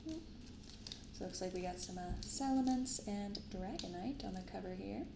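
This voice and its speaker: A soft-spoken woman with a creaky, fry-edged voice at a middling pitch narrates in an ASMR style, moving between reading aloud and casual commentary. She is picked up in a roomy space with noticeable background noise. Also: a little slow. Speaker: host of a card-opening channel. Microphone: binaural microphone.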